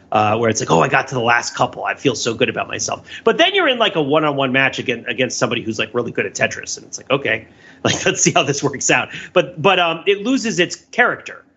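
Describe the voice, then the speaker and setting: A man's voice: mid-range voice, sharp tone, husky, muffled recording. A man talking casually as a podcast panelist, discursively explaining with examples and frequent check-ins.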